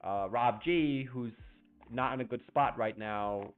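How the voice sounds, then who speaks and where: A man's voice: mid-range voice, a little slow, smooth, phone-quality sound, soft background music. A young man hosting a casual livestream chat, talking in a brash, rambling, slangy way. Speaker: streamer host.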